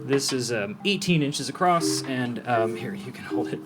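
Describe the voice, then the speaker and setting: A man's voice: medium pitch, expressive, normal speed. A man giving a casual, hands-on explanation as an audio professional, speaking informally and often checking that the listener follows. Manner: informal, demonstrative, checks understanding. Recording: music playing behind the voice.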